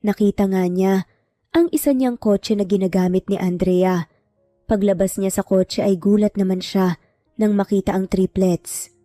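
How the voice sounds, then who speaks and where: A woman's voice: medium pitch, fairly even intonation, measured pace, dark tone, soft background music. A woman narrating a scripted romantic drama story as a storyteller.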